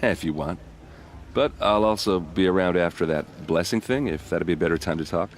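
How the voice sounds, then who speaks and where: An actor playing a cocky, flirtatious elite soldier in a video game, easygoing and teasing in manner. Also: male voice, low-pitched, brisk, slight background noise, natural voice. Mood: friendly, candid. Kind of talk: video game cutscene acting.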